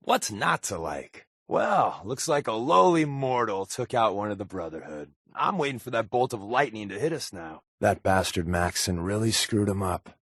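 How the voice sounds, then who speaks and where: An actor playing a wry, sarcastic secret agent companion in a post-apocalyptic video game, with a deadpan, wisecracking manner. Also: male voice, on the low side, normal speed, few pauses, husky, no room sound, clean studio sound, full character voice. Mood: sardonic.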